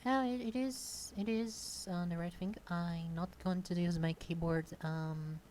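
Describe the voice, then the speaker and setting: Shy Brazilian woman, halting speech. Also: fairly low pitch, steady tone, darkish tone, a little hiss or hum. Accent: Brazilian Portuguese. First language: Portuguese. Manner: hesitant, many pauses and fillers. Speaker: content creator. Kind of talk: unscripted casual monologue. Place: home desk at a computer. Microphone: close desk microphone.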